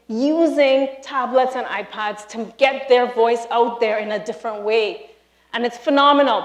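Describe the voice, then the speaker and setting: A woman with a Trinidadian accent speaks from a stage, lively and emphatic, talking straight to her audience. Her voice sits a little high. The hall around her gives a roomy echo. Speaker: speaker, university lecturer. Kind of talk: live conference talk. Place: large hall, live audience.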